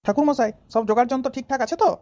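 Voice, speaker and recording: Performer doing a company man, raised pitch, extremely quick delivery, bossy, comedy cartoon. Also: a little mellow, lightly acted.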